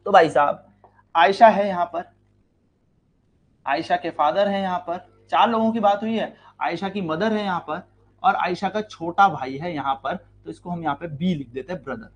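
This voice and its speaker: An Indian man with a fairly high voice teaches a maths lesson for exam students. He works through each step, poses questions to the class and answers them himself, repeating key lines and drawing words out for stress.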